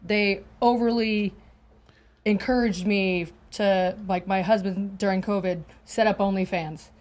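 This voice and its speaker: Woman guest, thirties, mid-range voice, measured and confiding. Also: mid-range voice, steady tone, darkish tone, a little hiss or hum. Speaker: panel guest. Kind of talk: casual panel discussion.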